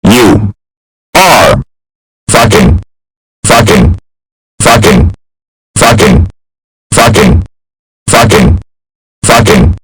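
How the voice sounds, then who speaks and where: A man playing an enraged male witness who shouts and swears at a defendant. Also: lowish voice, sing-song, drawn-out words, pauses often, slightly rough, exaggerated voice.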